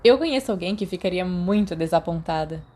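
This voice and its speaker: A woman's voice: fairly low pitch, expressive, a little fast, darkish tone, slight background noise, close to an everyday voice. A woman voicing a gossipy teenage schoolgirl in a story, with a smug, coy manner.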